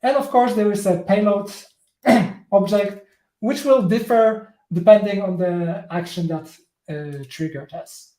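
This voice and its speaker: A man with a Polish accent, a native Polish speaker, gives a live coding talk that is halting and full of fillers and restarts. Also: sits high, mellow, roomy sound. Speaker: tech presenter.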